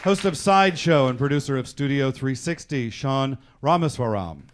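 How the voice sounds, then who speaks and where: A man's voice: mid-range voice, animated, normal speed. Man hosting before a noisy live audience. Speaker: host and director introducing a live radio drama. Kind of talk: live stage introduction to an audience. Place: in front of a live studio audience. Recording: live hall with audience noise and clicks. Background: audience crowd noise.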